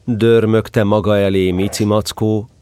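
A man's voice: fairly low pitch, little pitch movement, warm tone, slight background noise. A man narrating a scripted children's story as the storyteller of an audio drama.

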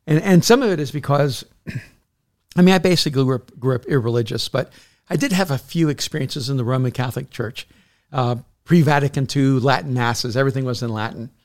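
A man's voice: mid-range voice, a little mellow. A middle-aged man from El Salvador, a pastor and author speaking as a podcast guest, talking in an earnest, storytelling way with frequent restarts. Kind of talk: reflective casual conversation.

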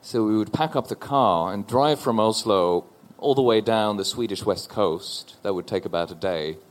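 A man from Norway in his forties speaks on a panel with a fairly low voice. He tells a personal story slowly and thoughtfully, often restarting his sentences. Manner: thoughtful, halting with repeated restarts. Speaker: panel guest. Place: stage before a live audience.

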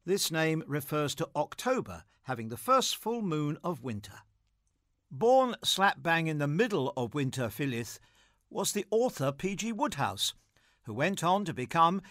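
A man reads a scripted literary piece as a programme presenter. His voice is slightly higher than most men's and very animated in pitch, recorded dry with hardly any room sound. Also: no echo.

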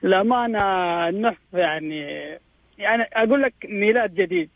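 Casting: male caller, 30s, Saudi accent, high-pitched, emphatic casual talk over a muffled phone line. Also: very animated, quick pace, gravelly, no echo. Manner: emphatic, repeats himself for stress. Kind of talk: casual personal chat.

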